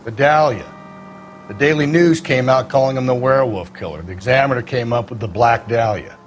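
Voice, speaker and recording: A man's voice: medium pitch, fairly quick, a little hiss or hum, natural voice. Man playing a jaded, blunt American veteran detective. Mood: cynical, matter-of-fact. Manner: blunt, world-weary, sardonic. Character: jaded, gruff male veteran homicide detective, cynical and dismissive. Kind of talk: cinematic video game acting.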